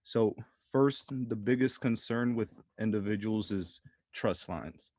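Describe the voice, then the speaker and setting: A man's voice: fairly low pitch, slow pace, darkish tone, dry recording, clean studio sound. A male host running a casual interview in an upbeat, encouraging way. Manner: upbeat, encouraging, agrees often.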